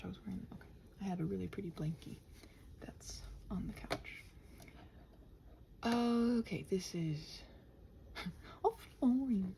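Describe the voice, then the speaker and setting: A woman's voice: medium pitch, mellow, slight background noise. A woman rambling casually to her listeners, muttering to herself and slipping into whispers, with playful, sarcastic asides. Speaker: ASMR and audio channel creator. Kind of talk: casual rambling body-doubling chat to listeners.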